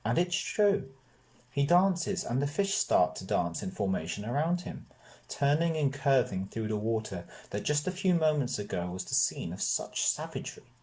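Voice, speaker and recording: A man narrating a children's novel in an expressive storybook style. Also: mid-range voice, moderate pace.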